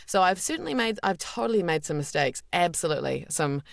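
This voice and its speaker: A woman with a deep voice, a voice actor being interviewed as a guest, talking candidly and reflectively, with many fillers and restarts. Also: expressive, brisk, vocal fry, no room sound, clean studio sound. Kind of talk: podcast interview.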